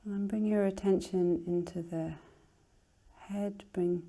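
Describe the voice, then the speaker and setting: A woman teacher leading a guided relaxation in a soothing, unhurried, gently instructing manner, her soft voice turning breathy at phrase ends. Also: medium pitch, steady tone.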